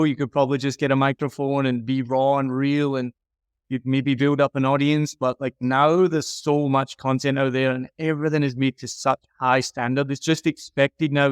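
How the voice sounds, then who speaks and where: Frank man with a clear, dry voice. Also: medium pitch, no room sound. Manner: frank, emphatic. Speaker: podcast audio consultant and reviewer. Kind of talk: conversational critique and advice.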